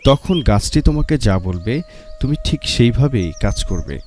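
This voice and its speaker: A man's voice: mid-range voice, expressive, normal speed, a little mellow, close to an everyday voice. A man voicing a benevolent holy man from a dream in a storybook tale, testing and guiding. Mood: instructing. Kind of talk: storybook character voice.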